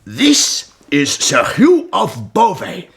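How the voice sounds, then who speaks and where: A commanding, genial lord and father in a historical radio play. His gravelly voice swings hugely in pitch. He is grand and amused, taking his time over each word. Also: wildly swooping pitch, slow pace, a bit bright, exaggerated voice. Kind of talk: radio historical drama acting.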